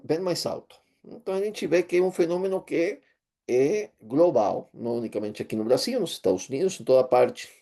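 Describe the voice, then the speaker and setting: A man with an Argentine Spanish accent, a native Spanish speaker, giving an online lecture in a hesitant way with many fillers and pauses. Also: a little high, warm tone. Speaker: teacher and therapist. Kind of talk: online lecture with shared screen.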